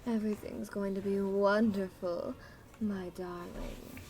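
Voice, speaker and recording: A woman voicing a seductive, predatory female demon in a story, coaxing softly in a breathy whisper. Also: mid-range voice, steady tone, slight background noise, close to an everyday voice. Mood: sultry, reassuring. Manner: slow, sultry coaxing. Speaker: amateur community voice actor. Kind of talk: dramatic story narration character voice.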